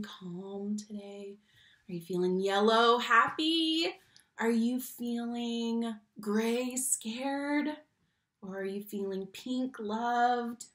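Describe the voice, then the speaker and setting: A young adult woman reads a picture book aloud to young children, expressively, stressing key words and speaking to the listeners directly. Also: fairly high pitch. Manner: animated, slow emphatic phrasing on key words, addresses children directly. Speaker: read-aloud teacher and storyteller. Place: small room at home, indoors. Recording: roomy home webcam sound.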